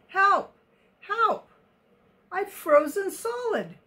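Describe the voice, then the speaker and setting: A woman's voice: high voice, very animated, measured pace, fairly bright tone, voice pushed up, full character voice. A woman voicing a playful, mischievous elephant who calls out eagerly to the herd in a children's storybook. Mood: mock distress.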